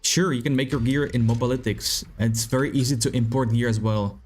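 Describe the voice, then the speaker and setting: A man's voice: mid-range voice, steady tone, fairly quick, a little mellow, husky, soft background music. Laid-back Czech-accented man streaming. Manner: laid-back, swears, reads chat aloud. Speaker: game streamer. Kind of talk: casual live-stream chat.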